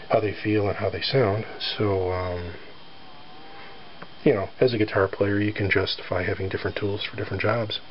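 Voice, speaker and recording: Scratchy-voiced man rambling about his gear. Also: on the low side. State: scratchy voice from allergies, clearing his throat. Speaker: guitar owner showing his guitar. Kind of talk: casual show-and-tell. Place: near a sunny front porch. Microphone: handheld camera filming close-up. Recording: dull home-video sound with faint background noise.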